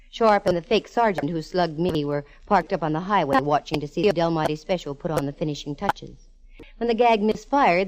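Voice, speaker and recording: A woman's voice: low voice, a little mellow, close to an everyday voice, rough recording. An American actress playing a wry, wisecracking, self-assured female private eye, narrating in 1940s radio detective style. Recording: old radio recording, faint organ music.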